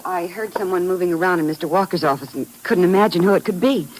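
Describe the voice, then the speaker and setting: An actress playing a polite, alert office secretary in a 1950s radio thriller, speaking in a proper, earnest, precise way. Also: female voice, fairly low pitch, fast, lightly acted. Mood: earnest, curious. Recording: noisy old radio recording.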